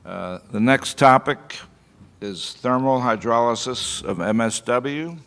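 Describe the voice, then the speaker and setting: A man's voice: mid-range voice, slow, a bit bright. A man moderating a conference session, formally introducing the speakers. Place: large conference hall. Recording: reverberant conference hall sound.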